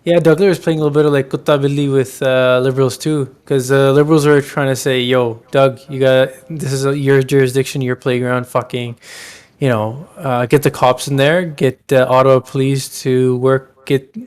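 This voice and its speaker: A man's voice: mid-range voice, flat delivery, moderate pace, darkish tone, clean tone. A man co-hosting a podcast, talking politics casually and emphatically with plenty of slang. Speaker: podcast co-host.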